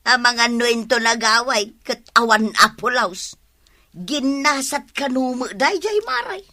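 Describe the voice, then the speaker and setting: A gossipy village woman in a radio drama. Her voice is animated and swings in pitch, fairly high and bright, in a dry close recording. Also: measured pace, no echo, full character voice. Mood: gossiping. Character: gossipy village woman sharing news with friend. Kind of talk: Ilocano radio drama acting.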